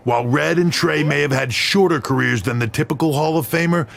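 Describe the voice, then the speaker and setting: An actor in a video game cutscene playing a measured male wrestling official who speaks in a firm, formal manner. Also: fairly high pitch, creaky, a bit bright.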